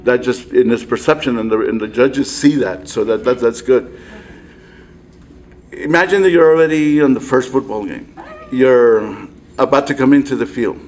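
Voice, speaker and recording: A man's voice: medium pitch, normal speed, a little mellow. A man hosting a casual sports interview, upbeat and chatty, with frequent laughs and a South Texas accent.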